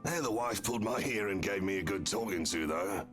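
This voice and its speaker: A man playing a jovial, boastful married police officer who flatters socialites, chatty and theatrical, in a video game. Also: lowish voice, fairly quick, natural voice. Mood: chatty grumbling. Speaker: character voice actor in a visual novel. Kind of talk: voiced game dialogue. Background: music.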